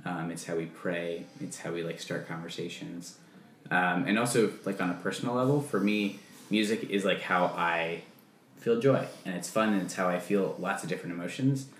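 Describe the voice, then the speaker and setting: A man's voice: low-pitched, moderate pace, fairly bright tone, a little hiss or hum. A man who is a camp music leader, answering interview questions in a chatty way, full of "um" and "like". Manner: chatty, filler-heavy, says "um" and "like" often. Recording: echoey room.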